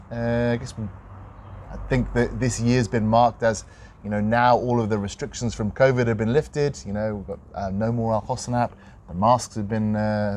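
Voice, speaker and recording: A man co-hosting a real estate podcast, talking in a casual, conversational and slightly hesitant, measured way. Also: medium pitch, a little mellow, slight background noise. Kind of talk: casual unscripted podcast chat.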